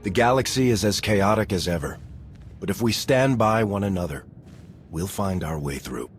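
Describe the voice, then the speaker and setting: An actor playing a steadfast veteran military commander in a video game, speaking in an earnest, measured way. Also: male voice, sits low, expressive, faint music behind. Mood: solemn, earnest. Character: steadfast gruff male military commander, veteran war hero. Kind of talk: video game cutscene acting.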